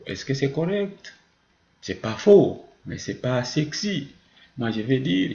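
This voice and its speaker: A male teacher gives a grammar lesson in a mid-pitched, gravelly voice. He explains didactically, sets out examples and answers his own questions. The sound is roomy and echoing. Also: a little mellow, dull audio. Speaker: French teacher.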